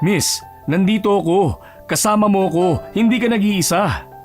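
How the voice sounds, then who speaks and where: A man with a Filipino accent playing an aloof, blunt young bachelor with a calm, serious manner in an audio romance drama. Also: high voice, normal speed, soft background music, natural voice. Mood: reassuring.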